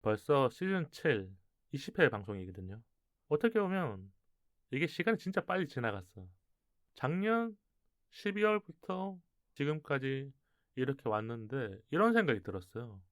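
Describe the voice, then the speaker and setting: Male radio presenter, medium pitch, chatty and conversational delivery, very dry recording. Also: sing-song, normal speed, no room sound. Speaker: radio show host.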